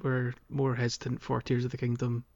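A man co-hosting a casual gaming podcast, speaking in a halting way as he thinks aloud. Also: medium pitch, monotone, vocal fry, darkish tone. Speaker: podcast co-host. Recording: dull, band-limited voice recording.

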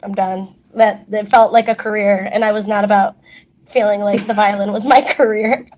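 A woman's voice: mid-range voice, fairly even intonation, a little mellow, dull audio, slight background noise. A young woman whose first language is Spanish, chatting as an interview guest with plenty of fillers. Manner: chatty, many fillers, quick laughs. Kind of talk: casual interview chat.

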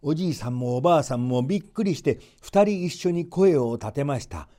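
A man narrating a folktale expressively, with measured storybook phrasing and playful emphasis. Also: on the high side, lively intonation, warm tone.

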